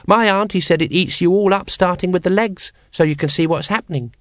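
Guy putting on a little kid voice, high, cheerfully morbid and chatty, audiobook story, dry recording. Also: brisk, no room sound, lightly acted. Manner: conversational, matter-of-fact about gruesome things. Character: small naive child, morbidly cheerful and chatty.

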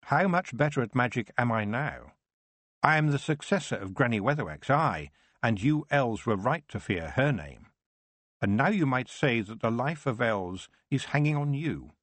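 A man voicing a young witch who speaks firmly and in a measured, commanding way. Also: medium pitch, animated, fairly bright tone, no echo, voice pushed up. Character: young witch girl Tiffany, firm and sharp-minded.

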